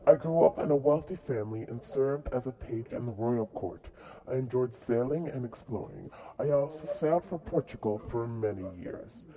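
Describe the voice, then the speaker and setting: Man playing a boastful dead explorer, mellow voice, recited answers, mock interview. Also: mid-range voice, moderate pace, lightly acted, rough recording. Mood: recounting. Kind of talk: school-project mock interview.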